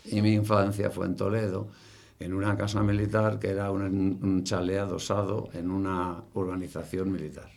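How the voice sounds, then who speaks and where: A man in his early seventies with a Castilian Spanish accent, casually reminiscing in an interview in a halting way, repeating words as he recalls. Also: low-pitched, level tone, creaky, darkish tone. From Spain. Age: early 70s.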